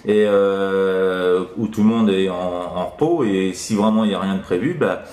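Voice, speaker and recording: A man's voice: sits low, moderate pace, a little mellow. A man chats casually as a CB radio operator, hesitant and rambling with frequent tag words. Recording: roomy echo around the voice.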